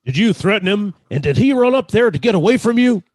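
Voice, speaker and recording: A man's voice: sits high, expressive, fast, darkish tone, no room sound. A man playing a suspicious state policeman in an old-time radio drama, a blunt and accusing interrogator.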